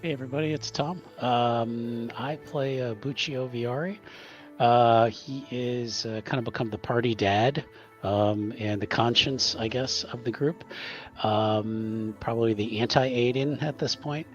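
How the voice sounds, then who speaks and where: A slightly dull, lo-fi recording of a man with an average-pitched voice. He chats as a tabletop player, understated and hesitant, pausing to find the next phrase. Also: steady tone, faint music behind. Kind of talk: casual character introduction. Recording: slightly dull, lo-fi microphone sound.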